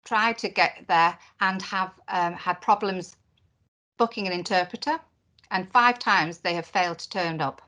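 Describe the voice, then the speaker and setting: A woman voicing a deaf presenter's signed conference talk into spoken English, in short phrases with pauses and fillers. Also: fairly low pitch, pushed and bright. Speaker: sign language interpreter voicing a deaf presenter. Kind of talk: live voiced interpretation of a conference talk.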